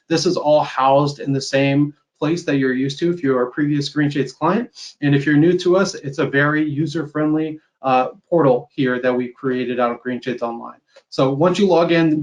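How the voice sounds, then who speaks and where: A man with a mid-pitched voice presents a software demo on a video call. He explains each point in a conversational, informal way, often tagging statements with a quick check-in. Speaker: software company sales executive presenting a webinar.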